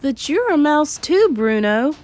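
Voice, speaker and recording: A woman's voice: sits high, very animated, measured pace, darkish tone, faint music behind, voice pushed up. A woman voicing an earnest, sensible young boy turned mouse, matter-of-fact and reassuring, in a storybook reading.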